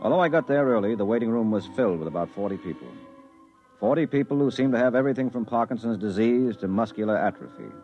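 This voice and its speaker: Guy acting mild earnest 40s investigator in an old radio play, lively low voice, muffled vintage sound. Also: fast talker, lightly acted. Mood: reflective narration. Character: mild, earnest accountancy investigator and henpecked husband. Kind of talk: 1950s radio drama acting with first-person narration. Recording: dull vintage radio recording, music behind.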